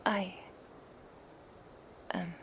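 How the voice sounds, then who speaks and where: A woman's voice: very slow, a bit bright, slight background noise. A woman playing a doting, possessive kitsune witch in a whispered ASMR roleplay, speaking tenderly in formal, archaic phrases. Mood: tender, reassuring.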